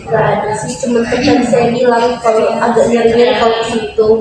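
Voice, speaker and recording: Flat-toned woman patient, very echoey. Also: fairly high pitch, brisk, noisy background. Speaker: patient in a demonstration. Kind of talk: brief casual answers. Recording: echoey room, distant mic, music behind.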